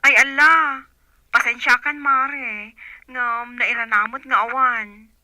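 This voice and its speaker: A woman's voice: fairly high pitch, steady tone, slow pace, pushed and bright, no room sound, heavily acted, rough recording. A woman playing an apologetic, hard-up neighbour in a radio drama.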